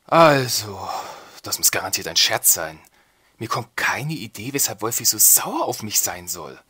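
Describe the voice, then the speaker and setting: An actor playing a flippant, bumbling medieval lord in a comedic audio drama, talking casually and sarcastically. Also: male voice, fairly low pitch, big pitch swings, a little slow, sharp tone, slightly rough, heavily acted. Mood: casual, sarcastic.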